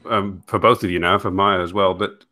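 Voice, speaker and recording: A man hosts a relaxed living-room interview. His lowish voice is bright and forceful, and he stumbles through his questions, restarting phrases over and over. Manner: halting, restarts phrases often. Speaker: host. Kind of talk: casual interview chat.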